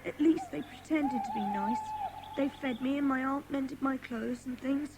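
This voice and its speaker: A woman's voice: high-pitched, steady tone, moderate pace, background noise. A woman playing a frightened but defiant young runaway boy, plaintive and guarded, in a children's audio drama. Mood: plaintive, confiding.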